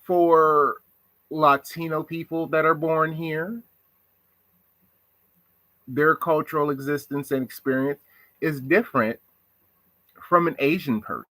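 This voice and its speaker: A man's voice: on the high side, steady tone, slow pace, long pauses, sharp tone, dry recording, poor audio. A man from the United States giving a reflective monologue on social issues, asking rhetorical questions. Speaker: commentator.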